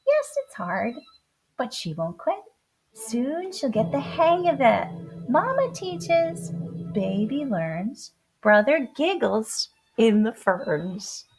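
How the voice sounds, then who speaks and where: A woman with a high, very lively voice reads a rhyming picture book for children. Her delivery is theatrical and unhurried, dropping to hushed whispers and rising to bold exclamations. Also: rough recording. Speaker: children's storybook reader. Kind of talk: animated read-aloud of rhyming picture book poems.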